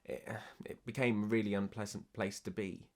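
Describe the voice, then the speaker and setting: A man talks in a documentary interview in a somewhat low voice. He sounds emphatic and frustrated, and his words come out blunt and forceful. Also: steady tone, normal speed.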